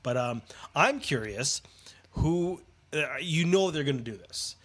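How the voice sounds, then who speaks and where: A man's voice: a little high, expressive, measured pace, a bit bright. A man co-hosting a podcast in casual chat, phlegmy from a cold, rambling with fillers. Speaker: podcast co-host.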